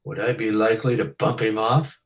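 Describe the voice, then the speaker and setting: A man's voice: fairly low pitch, little pitch movement, brisk, lightly acted. A man playing a self-assured, menacing casino boss in a radio detective play, terse and commanding. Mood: coolly threatening. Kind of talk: old-time radio detective drama acting.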